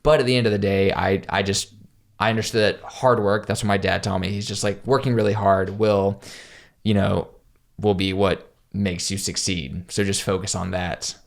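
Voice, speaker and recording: A man's voice: low voice, fairly even intonation, moderate pace, vocal fry. A young man from South Carolina, a podcast guest, telling candid, self-deprecating stories in casual conversation. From South Carolina, USA. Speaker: podcast guest, pro skateboarder.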